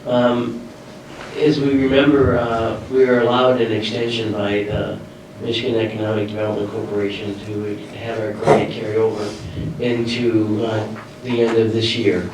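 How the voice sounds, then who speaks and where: A man with a head cold gives a treasurer's report at a board meeting. He sounds nasal and hesitates often with fillers. His voice is low and flat, and he sounds distant in a hall with heavy echo. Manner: halting, many uh and um fillers. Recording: echoey hall, distant mic, faint noise.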